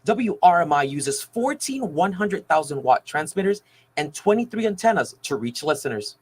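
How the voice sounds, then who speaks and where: An American man reads a scripted news report in a fairly high, husky voice. His pitch moves in a lively way as he narrates. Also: moderate pace.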